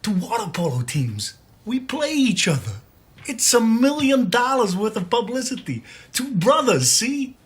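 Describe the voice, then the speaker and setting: An eager younger brother, a glib dreamer in a drama. His voice sits a little high and is very husky. He talks persuasively, like a born booster. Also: expressive, normal speed, a bit bright, exaggerated voice. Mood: eager pitching. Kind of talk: stage-drama acting.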